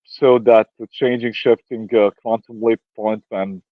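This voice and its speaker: A man whose first languages are Serbian and Romanian, answering interview questions in a halting way with many fillers and restarts. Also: lowish voice, fairly even intonation, dry recording, narrow, tinny audio. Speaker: interview guest.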